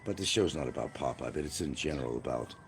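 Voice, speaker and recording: A man with a very deep, low voice talks bluntly on a phone livestream from outdoors beside a field. He rambles and repeats himself as he answers commenters. Faint outdoor sounds and birds drift in behind him. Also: fast, vocal fry, a little hiss or hum. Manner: blunt, combative, repetitive. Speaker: livestream host.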